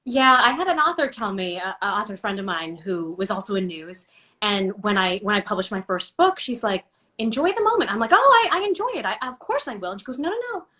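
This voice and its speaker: A woman's voice: mid-range voice, animated, a little fast, sharp tone. A woman hosting an interview, asking questions in a conversational way and repeating words as she starts phrases. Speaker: host. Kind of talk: interview host.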